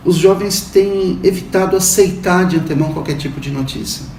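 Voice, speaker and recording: A man's voice: high-pitched, darkish tone, a little hiss or hum. Brazilian man in his fifties, roomy and distant. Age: 50s. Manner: measured academic explaining. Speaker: university professor interviewee. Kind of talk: interview answers. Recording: roomy echo, distant mic, faint ambience.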